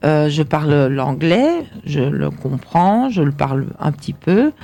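A young woman, a singer chatting in an interview and pausing often with fillers. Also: sits low, big pitch swings, creaky, warm tone, slight background noise. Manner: chatty, hesitant with many fillers. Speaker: singer guest. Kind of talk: casual interview chat.

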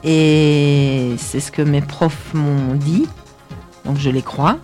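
A young woman, a singer chatting in an interview and pausing often with fillers. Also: deep voice, steady tone, darkish tone, soft background music. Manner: chatty, hesitant with many fillers. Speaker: singer guest. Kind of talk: casual interview chat.

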